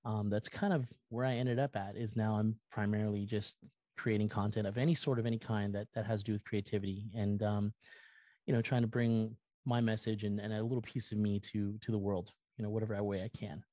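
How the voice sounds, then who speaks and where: Mellow, low-voiced American man. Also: little pitch movement, fairly quick, no echo. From California, United States. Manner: effusive, earnest, rambling. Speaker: podcast guest, musician and content creator. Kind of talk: casual, personal interview talk.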